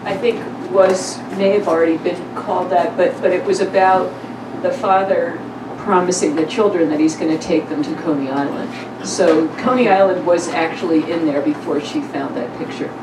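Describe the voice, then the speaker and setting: A woman's voice: on the low side, steady tone, moderate pace. A woman speaking informally to a live gathering as a publisher and event host. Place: echoey room at a live event. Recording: roomy, distant mic with loud noise.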